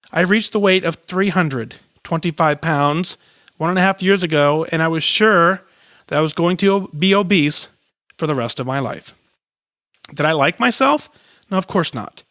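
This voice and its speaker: A man with a high voice reads a listener's letter on his podcast, earnest and measured, his sentence endings falling soft. The sound is dry and close, with no room around it. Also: no echo. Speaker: podcast host. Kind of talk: reading a listener's letter aloud.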